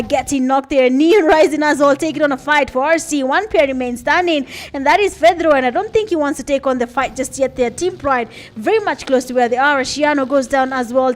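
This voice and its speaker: High-voiced Kenyan woman casting a game. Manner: chatty, laughs at herself, frequent uh fillers. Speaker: esports caster. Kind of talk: live play-by-play commentary.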